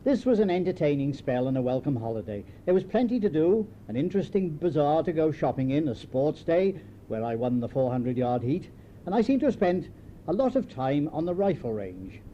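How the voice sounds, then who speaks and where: An older British man reading his own written memoir in a measured, wry, understated storytelling manner. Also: a little high, big pitch swings, warm tone. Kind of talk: scripted memoir narration. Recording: dull lo-fi home tape, faint noise.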